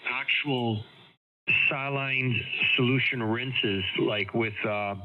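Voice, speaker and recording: A man's voice: mid-range voice, slow. A man with a head cold chats casually over amateur radio, explaining things in a rambling way. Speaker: amateur radio operator on a group call. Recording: noisy, dull ham radio transmission.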